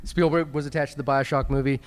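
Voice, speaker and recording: A man speaking casually on a live film podcast panel, halting and repeating words as he thinks. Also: mid-range voice, steady tone, clear, resonant tone. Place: large convention panel room. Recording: echoey hall PA, live recording.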